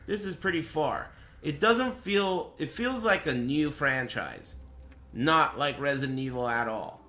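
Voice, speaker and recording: A male game streamer shares his impressions in a casual, off-the-cuff style. His voice sits a little high for a man and has a bright, forceful edge. He is blunt and profane, with a dry sarcastic streak. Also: expressive, unhurried.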